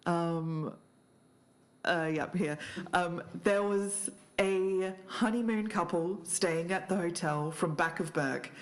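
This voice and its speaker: Casting: woman, low voice, podcast co-host narrating research and reading quotes. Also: slow pace.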